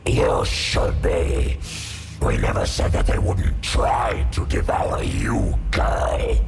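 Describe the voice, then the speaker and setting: A deeply gravelly, whispery alien symbiote from a video game, hungry and menacing. It speaks slowly and formally, with ominous swoops in pitch, over clear music. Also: male voice, huge pitch swings, heavily acted. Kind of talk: video game character dialogue. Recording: music behind the voice.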